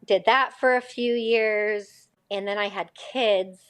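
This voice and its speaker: Woman in her fifties, school psychologist guest, chatty, rambling, middle pitch. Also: fairly even intonation, takes it slowly, a bit bright, clear, resonant tone. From Connecticut. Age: 50s. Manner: chatty, rambling, self-correcting. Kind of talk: casual podcast interview.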